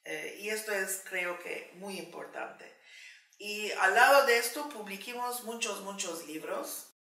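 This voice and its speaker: Slow, bright-voiced woman, roomy room. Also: mid-range voice. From Turkey. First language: Turkish. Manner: slow, thoughtful, with frequent pauses and fillers. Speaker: Ladino language advocate interviewee. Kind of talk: documentary interview. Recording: roomy sound, mic at a distance.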